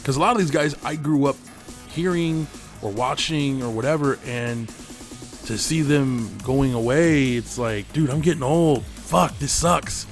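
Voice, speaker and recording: A man's voice: medium pitch, unhurried, soft background music. Tired guy losing his voice, rambling. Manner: rambling, laid-back, swears freely. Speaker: gaming channel host. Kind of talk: casual commentary over gameplay.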